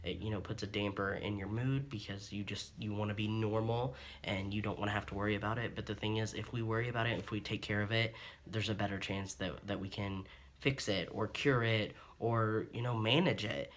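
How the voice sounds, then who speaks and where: A man's voice: lowish voice, fairly even intonation, brisk, a bit bright, slight background noise. A young man in his twenties from Ohio, talking openly and earnestly to camera in a rambling vlog full of ums and restarts. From Ohio, USA. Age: 20s. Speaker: vlogger. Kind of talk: candid personal monologue to camera.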